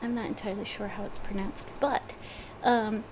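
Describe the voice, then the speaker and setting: A woman's voice: mid-range voice, steady tone, normal speed, noisy background. A woman with a head cold chatting before a gaming session, hesitant and full of fillers. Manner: hesitant, many fillers and restarts. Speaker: gaming streamer. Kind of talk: casual chat before a gameplay session.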